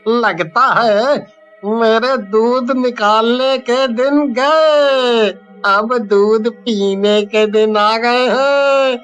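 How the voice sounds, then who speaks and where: A man's voice: very high voice, extremely slow, fairly bright tone, highs cut off, faint music behind, exaggerated voice. A man voicing a flirtatious, greedy village milkman with a leering drawl in a storybook tale. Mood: gleeful greed.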